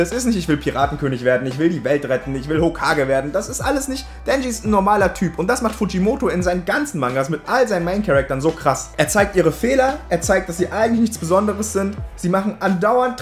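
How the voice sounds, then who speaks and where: A man talking casually and enthusiastically to viewers as a YouTube reviewer, in colloquial, slangy language peppered with English loanwords. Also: sits high. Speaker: YouTube anime and manga reviewer. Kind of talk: casual direct-to-viewer video commentary. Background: background music.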